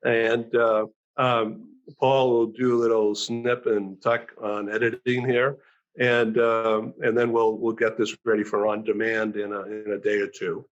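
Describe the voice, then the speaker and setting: A man hosting a talk show over a video call, thanking guests and announcing upcoming shows, talking haltingly with many fillers. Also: on the low side, level tone, highs cut off.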